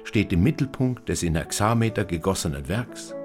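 Reflective writer looking back, deep voice, dry and measured, radio play with music under it. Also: male voice, lively intonation. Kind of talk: literary radio narration with music.

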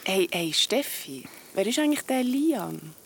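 An actress with a Swiss German accent playing a concerned, inquisitive friend in an audio drama, tentative and sympathetic. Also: female voice, mid-range voice, sing-song, a little slow, gravelly. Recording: background noise and ambience.

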